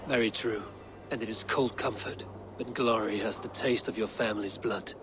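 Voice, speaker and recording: An actor playing a stern, terse Viking warrior who speaks in a measured, grave way. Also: male voice, lowish voice, steady tone, noisy background, natural voice.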